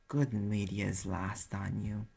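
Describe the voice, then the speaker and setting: Man playing a gravelly, brash New York private eye. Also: lowish voice, little pitch movement, very slow, darkish tone, exaggerated voice. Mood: explaining, weary. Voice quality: gravelly, husky. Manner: sardonic, casual, swearing, defensive. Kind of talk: audio roleplay acting, conversational.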